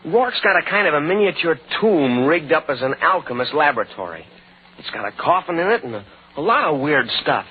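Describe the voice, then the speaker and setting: An actor playing a gruff, skeptical American police lieutenant in a 1940s radio drama, blunt and slangy in his questioning. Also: a little high, big pitch swings, a little fast. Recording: scratchy, tinny 1940s radio broadcast.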